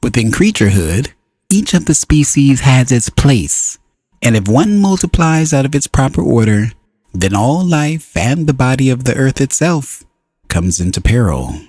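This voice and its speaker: A man narrating a book as an audiobook reader, in deliberate, solemn phrases broken by frequent pauses. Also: mid-range voice, big pitch swings, a little mellow, slightly rough, no echo.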